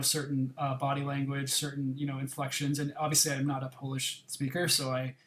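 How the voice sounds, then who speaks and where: A man's voice: medium pitch, a bit bright, slight background noise. A filmmaker on a podcast panel, a man reflecting aloud on film and often restarting his thoughts. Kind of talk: casual film discussion.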